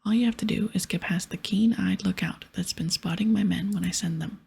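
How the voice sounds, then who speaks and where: Bossy old-salt pirate captain played by a woman, video game, breathy ASMR whisper, piratical turns of phrase. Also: fairly low pitch, a little fast, darkish tone, heavily acted. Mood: bossy, explaining. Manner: piratical dialect, explaining and ordering.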